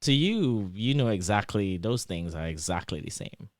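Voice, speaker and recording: Trinidadian man, low voice, dry sound. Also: normal speed, no echo. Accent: Trinidadian shifting toward American. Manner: animated, explains with examples, many fillers. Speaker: interview guest, PhD student. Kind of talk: casual explanatory conversation.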